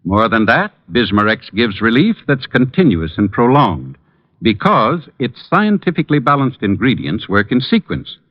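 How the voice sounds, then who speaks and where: A man's voice: lowish voice, no echo. An American man reading a scripted old-time radio advertisement as a spokesman. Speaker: commercial spokesman. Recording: dull vintage radio recording.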